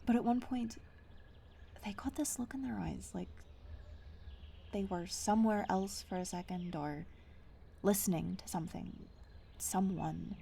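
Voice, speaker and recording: A woman's voice: medium pitch, a little slow, creaky, long pauses, dark tone, a little hiss or hum. A woman playing a guarded, stubborn dweller of the wilds in a fantasy audio roleplay, speaking in an earnest, naturalistic, hesitant way. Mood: uneasy.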